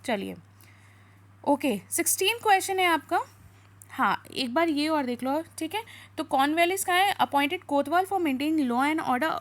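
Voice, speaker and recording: A woman's voice: sits high, expressive. A woman with an Indian accent teaching an exam lesson in question-and-answer style, with an instructive manner, explaining points and reading out material. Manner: instructive, switching between spoken explanation and slower reading aloud.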